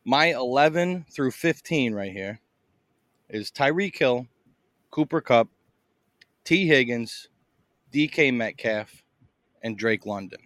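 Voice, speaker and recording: A man's voice: mid-range voice, lively intonation, takes it slowly, long pauses, fairly bright tone, no room sound. Chatty man with a dry sound. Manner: loose and chatty, with frequent fillers and laughs. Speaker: podcast co-host. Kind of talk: casual fantasy football chat. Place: in Boston, eating a meal while recording.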